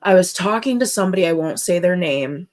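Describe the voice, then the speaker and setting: A woman from Minnesota hosting her own show, speaking with deep emotion in halting, earnest commentary. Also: animated, darkish tone. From Minnesota, USA. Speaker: host. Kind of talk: emotional personal commentary vlog.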